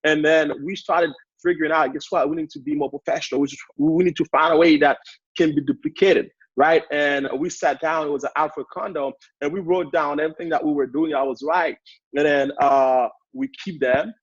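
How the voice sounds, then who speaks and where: A man's voice: on the high side, a little fast, husky, no room sound, lo-fi sound. Hyped young Haitian-accented man. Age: 20s. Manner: hyped up, energetic, repetitive. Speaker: guest speaker on a sales training call. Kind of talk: motivational talk.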